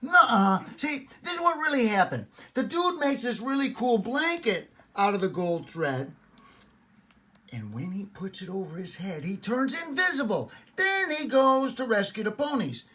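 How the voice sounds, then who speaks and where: Older man playing a cocky, high-voiced storybook boy. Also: big pitch swings, moderate pace, pushed and bright, exaggerated voice. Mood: excited, argumentative. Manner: slangy, blunt and exasperated. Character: cocky, impatient boy telling a macho tale. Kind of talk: animated storybook read-aloud.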